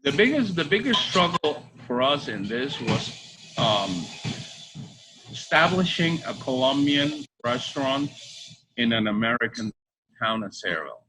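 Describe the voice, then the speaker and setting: A middle-aged man from Bolivia answering interview questions conversationally, halting and repeating his phrases. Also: mid-range voice, sing-song. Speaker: restaurant co-owner. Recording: faint background ambience noise.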